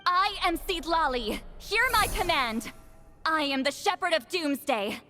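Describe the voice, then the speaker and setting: An actress playing an imperious, sharp-tongued shaman high priestess in a video game, with a theatrical, commanding manner. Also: lively intonation, a little slow, soft background music, heavily acted. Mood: solemn, commanding. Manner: solemn incanting, dry sarcastic scolding.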